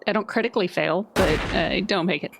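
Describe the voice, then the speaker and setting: A woman's voice: fairly low pitch, fairly quick, creaky, noisy background. A woman talking casually as a player in a tabletop role-playing game. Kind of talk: casual chat.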